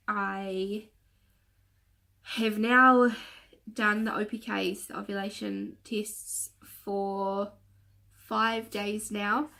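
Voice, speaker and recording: A woman's voice: medium pitch, bright, forceful tone. Nasal woman chatting with a stuffy nose. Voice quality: slightly nasal. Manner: rambling with many fillers and restarts. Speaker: vlogger. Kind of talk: casual chatty vlog rant.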